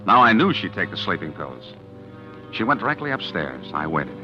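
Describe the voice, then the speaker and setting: A calculating, hard-boiled schemer tells his own story in a 1940s radio thriller. His voice sits low but moves a great deal, confiding and nervous by turns. Music plays under the dull, lo-fi broadcast sound. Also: sing-song, normal speed. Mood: brooding. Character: cool, calculating man plotting to poison his wife. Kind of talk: first-person narration in 1940s radio acting.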